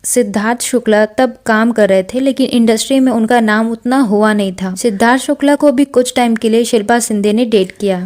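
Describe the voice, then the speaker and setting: Woman, higher pitch, entertainment news narration, conversational explainer, English words mixed in. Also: darkish tone. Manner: mixes English words into storytelling. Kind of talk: narrated celebrity controversy story, conversational explainer.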